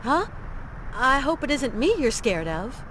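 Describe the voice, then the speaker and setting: A woman's voice: high-pitched, big pitch swings, unhurried, a bit bright, a little hiss or hum, heavily acted, rough recording. A woman playing a plucky, polite adventurer in a video game, with a wry, conversational delivery. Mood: friendly, bemused.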